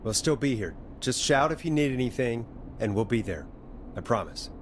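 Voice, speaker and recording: An actor playing a composed, caring late-night radio host in a video game, speaking in a steady, conversational way. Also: male voice, medium pitch, normal speed, very noisy, lightly acted. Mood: reassuring. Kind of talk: natural video game voice acting.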